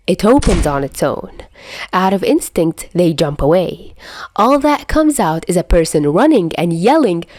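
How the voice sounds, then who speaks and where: A woman's voice: lowish voice, a little mellow, no echo. A woman reading a scripted story as an amateur audiobook narrator. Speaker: amateur fan fiction narrator.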